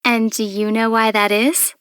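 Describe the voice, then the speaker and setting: A woman playing a young traveler heroine in a video game, calm and poised with a gently wry manner. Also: fairly high pitch, normal speed, smooth, close to an everyday voice. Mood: poised, storytelling. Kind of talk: video game character voice acting.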